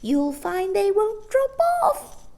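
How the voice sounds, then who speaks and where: Shrill, squeaky tiny old shopkeeper woman. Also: very animated, takes it slowly, vocal fry, mellow, full character voice. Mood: brisk, demanding. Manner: exclamatory, swinging between scolding and cooing. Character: tiny old shopkeeper woman, bossy, fierce, gleefully sweet.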